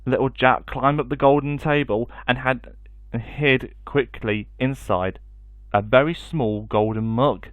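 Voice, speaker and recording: A male storyteller with a mid-pitched voice, reading expressively for children. The recording is dry, with little room sound. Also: a little slow, a bit bright, no echo.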